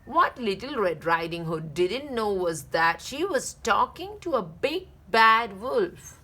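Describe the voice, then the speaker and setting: A woman hosting a read-aloud, narrating a children's story deliberately with drawn-out phrase endings. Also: medium pitch, very animated, pushed and bright, breathy edge. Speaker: read-aloud channel host.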